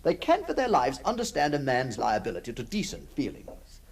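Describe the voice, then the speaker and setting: Gravelly, wistful genteel gentleman. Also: medium pitch, wildly swooping pitch, normal speed, exaggerated voice. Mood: wistful, brooding. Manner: musing, theatrical, refined. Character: wistful, genteel middle-aged gentleman haunted by his past. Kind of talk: radio drama acting. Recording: old radio recording with faint noise.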